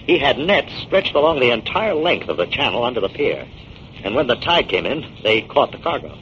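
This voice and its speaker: A confident detective from a 1940s radio serial. He explains things with assurance and a very animated, swinging pitch. The old recording is dull, with faint noise. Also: fairly quick. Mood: explaining. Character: confident male detective explaining a solved case. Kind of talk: 1940s radio drama acting.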